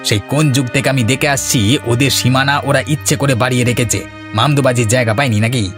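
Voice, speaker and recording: Man performing a greedy cartoon husband, medium pitch, bossy, insistent, scheming, music behind. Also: steady tone, fast talker, natural voice. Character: greedy, scheming village husband, bullying his neighbours. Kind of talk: cartoon voice acting.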